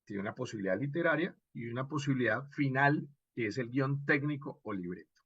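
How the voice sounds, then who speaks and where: A man with a husky, mid-pitched voice teaches in an informal online lecture. He is expressive and didactic, punctuating points with short check questions. The sound is dry and somewhat dull, like a lo-fi online session. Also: brisk, no room sound. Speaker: radio drama instructor.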